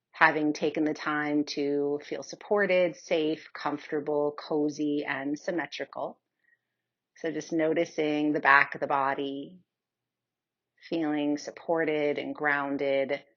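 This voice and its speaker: A woman leading a guided yoga nidra meditation in a soothing, unhurried manner with long pauses. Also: low-pitched, fairly bright tone, dull audio.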